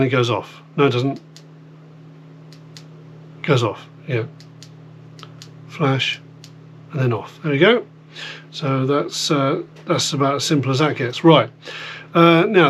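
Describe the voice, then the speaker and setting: British man, higher-pitched voice, humming background noise. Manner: informal, rambling, explaining step by step. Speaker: host of a radio gear demonstration video. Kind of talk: casual hands-on product demonstration. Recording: clear background noise with electrical hum.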